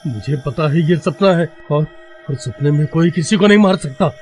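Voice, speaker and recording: A man's voice: fairly high pitch, normal speed, darkish tone, faint music behind, close to an everyday voice. A man playing a panicky, cowardly villager in a cartoon horror story, stammering, whimpering and pleading melodramatically. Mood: pleading, terrified. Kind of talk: animated horror-comedy story acting.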